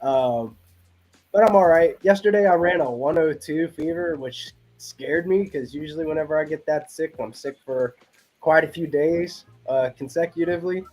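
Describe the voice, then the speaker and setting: A man chatting casually, his voice a little raspy from being sick. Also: fairly high pitch, moderate pace, a little mellow, faint music behind, low-quality recording. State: slightly raspy from illness. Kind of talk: casual chat.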